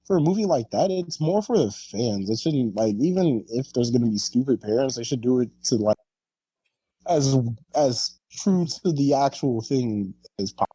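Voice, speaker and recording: A man's voice: mid-range voice, animated, moderate pace, warm tone, slightly rough, no room sound, low-quality recording. A man in a casual podcast chat, explaining earnestly, repeating himself and swearing casually. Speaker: podcast panelist.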